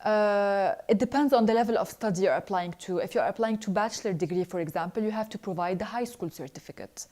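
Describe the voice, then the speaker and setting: Young woman, alumna hosting a live Q&A. Mellow, relaxed voice, earnest, halting with fillers. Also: mid-range voice. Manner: earnest, hesitant, frequent filler pauses. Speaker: scholarship alumna answering viewer questions. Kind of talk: live online Q&A, reading questions then answering.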